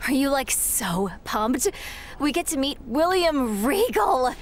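An actress voicing a starstruck young female wrestling fan who gushes with giddy excitement. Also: high voice, slow pace, slight background noise, heavily acted. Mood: excited. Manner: giddy, gushing, breathless excitement.

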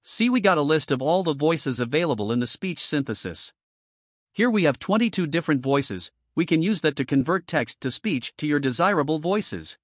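Higher-pitched man narrating a tutorial. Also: sing-song, normal speed, no room sound, clean studio sound. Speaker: programming tutorial presenter.

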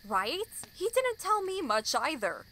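A woman's voice: sits high, very animated, unhurried, pushed and bright, full character voice. A woman playing a friendly, curious young adventuring companion in an audio drama.

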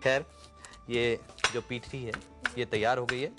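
A middle-aged man demonstrates a recipe in a TV studio kitchen, speaking on a lapel mic in a relaxed, step-by-step way. His voice sits at an ordinary pitch for a man, and faint music runs behind him. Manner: relaxed, explaining step by step with pauses. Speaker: TV chef and host. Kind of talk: cooking show demonstration with chat.

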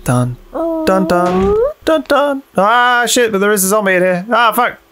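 A man's voice: very high voice, very animated, unhurried, music in the background. A young British man giving casual, playful gameplay commentary, sweary and often repeating phrases in a sing-song way. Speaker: let's play host.

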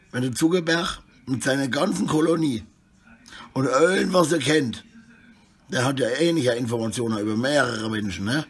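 A middle-aged man with a husky voice, rambling disjointedly in a selfie monologue recorded indoors on a handheld phone. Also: medium pitch. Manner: rambling, disjointed, often tags sentences with questions. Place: small room indoors. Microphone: phone held at arm's length.

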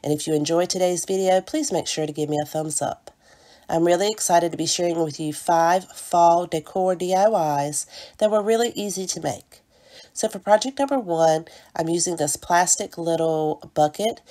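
A woman with a stuffy nose from a cold narrates a friendly step-by-step craft tutorial. Her voice sits low and mellow, and she keeps calling things cute and little in a chatty, apologetic way. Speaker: DIY craft channel host.

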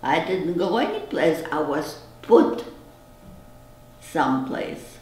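An older woman from Lithuania recalling the war slowly, in short, reluctant sentences. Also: low voice, sing-song, darkish tone, reverberant, soft background music. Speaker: interviewee. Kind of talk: slow, reluctant recollection.

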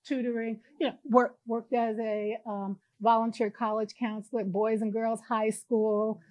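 A woman's voice: on the high side, flat delivery, moderate pace, darkish tone, no room sound, poor audio. An older woman, a guest, talking animatedly in a group chat, often restarting her words and laughing.

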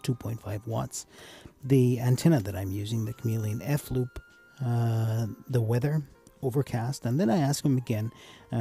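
A man with a gravelly, husky voice giving a casual, explanatory tutorial voice-over as the host of a hobby channel. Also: mid-range voice, mellow. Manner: casual, addresses viewers as guys.

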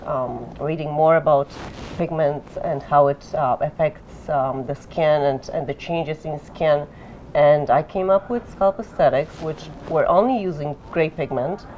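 Middle-aged woman, low voice, from Poland, explains step by step, slightly dull recording. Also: a little hiss or hum. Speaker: guest, permanent makeup artist. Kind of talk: interview answers. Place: conference room, indoors.

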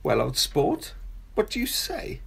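A woman playing a suave, gloating male professor and secret spy in a radio play, pompous mock courtesy and a husky, breathy voice. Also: deep voice, huge pitch swings, measured pace, heavily acted. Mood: mocking, grandiose. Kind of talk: homemade radio play acting.

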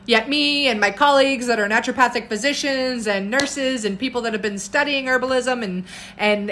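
A woman in her thirties with a loud voice, an herbalist chatting casually on a phone live stream at home and answering viewer comments in an animated way. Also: fairly high pitch, slight background noise. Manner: animated, jumps between topics and viewer comments, upbeat. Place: home room with bookshelves, indoors. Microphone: phone camera at arm's length.

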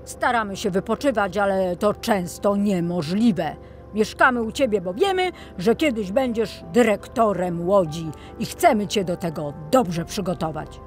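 A woman's voice: mid-range voice, lively intonation, measured pace, faint music behind, natural voice. A woman voicing an earnest, friendly talking toy fire truck in a children's audio tale. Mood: earnest, encouraging.

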